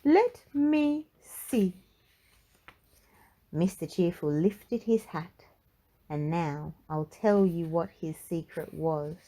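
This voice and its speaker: A woman reading a children's storybook aloud in an expressive, measured narrating style. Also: low voice. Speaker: children's book reader.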